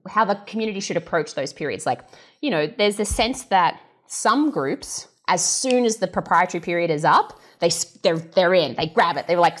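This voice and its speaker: A woman speaking as a scientist guest, explaining thoroughly in a conversational way with rhetorical questions and check-ins. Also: lowish voice. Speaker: exoplanet scientist, panel guest.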